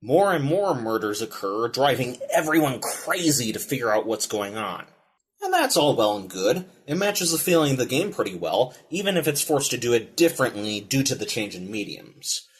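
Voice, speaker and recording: Male review host, mid pitch, highly varied intonation, scripted video-essay narration. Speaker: film reviewer and show host.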